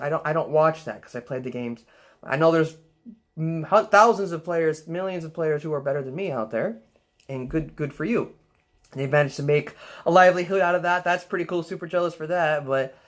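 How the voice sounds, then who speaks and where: Soft-voiced rambling man. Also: a little high. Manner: rambling with many fillers and restarts. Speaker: vlogger. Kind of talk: casual rambling vlog talk.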